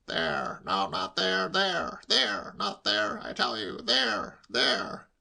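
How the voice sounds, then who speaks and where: A man voicing an irritable, exasperated older English gentleman in a comic audiobook, testy, strained and emphatic. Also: on the low side, huge pitch swings, takes it slowly, gravelly, pitched below the performer's own voice, full character voice. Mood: strained, impatient.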